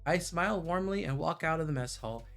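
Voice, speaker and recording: Creaky, higher-pitched man reading aloud on stream. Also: brisk, a bit bright, soft background music. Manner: playful, stumbles while reading. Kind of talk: livestream read-aloud narration with chatty asides.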